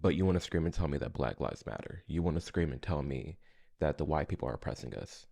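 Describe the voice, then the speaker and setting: Deep, gravelly American man giving commentary. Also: fast, mellow. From United States. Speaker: reaction video host, commentator. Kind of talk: casual reaction commentary.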